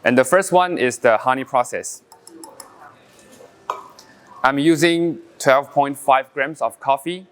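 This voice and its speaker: Taiwanese barista, mid-pitched and clean-toned, relaxed demo delivery with pauses and fillers. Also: male voice, smooth. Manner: halting non-native English with frequent fillers, explaining step by step. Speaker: coffee brewing champion. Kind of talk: casual brewing demonstration.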